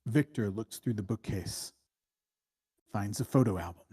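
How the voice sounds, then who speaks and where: A man reading screenplay stage directions as dramatic narration, in a deliberate, suspenseful way. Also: lowish voice, warm tone, breathy edge.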